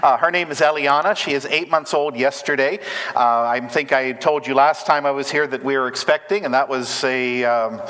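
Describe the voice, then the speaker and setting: A man in his forties from Canada, addressing a church congregation in a relaxed, joking way with lots of fillers and restarts. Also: medium pitch, normal speed, in a large hall, low-quality recording. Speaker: church ministry representative. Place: church sanctuary.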